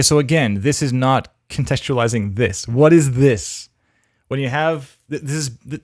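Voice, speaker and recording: A man's voice: mid-range voice, dry recording. A man hosting a livestream, casually thinking aloud as he gives frank critique of demo reels on a close mic. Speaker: host reviewing demo reels.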